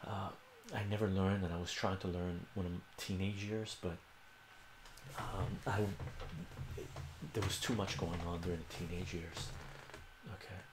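A man's voice: sits low, steady tone, moderate pace, reverberant, background noise. An older man whose first language is Armenian, hosting a casual livestream from a home room, rambling and correcting himself as he answers viewers' questions. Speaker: livestream host.

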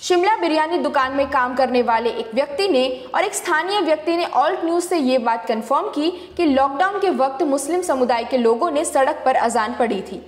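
High-pitched woman narrating news, echoey. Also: lively intonation, a little fast, hardly any pauses. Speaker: fact-check video narrator. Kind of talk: scripted news explainer narration.

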